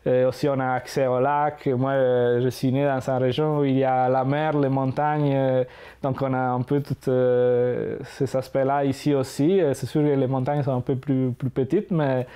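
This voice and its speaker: A man's voice: mid-range voice, flat delivery, moderate pace, warm tone, smooth. A man in his thirties from Italy with an Italian accent, speaking hesitantly with frequent fillers in an interview. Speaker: immigrant interviewee. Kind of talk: reflective interview talk.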